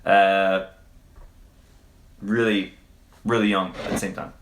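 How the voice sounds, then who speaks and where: A man's voice: deep voice, little pitch movement, slow pace, slight background noise. Easygoing man from Quebec, roomy sound. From Quebec, Canada. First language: French. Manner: loose and hesitant, many fillers and swearing. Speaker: livestream host. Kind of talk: casual livestream Q&A answering chat. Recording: roomy echo.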